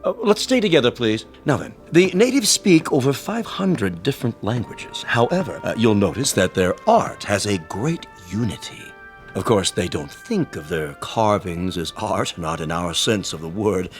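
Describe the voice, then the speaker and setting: An actor playing a prim, enthusiastic museum tour guide in a radio drama, formal and didactic. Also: male voice, fairly low pitch, very animated, moderate pace, soft background music. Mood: lecturing.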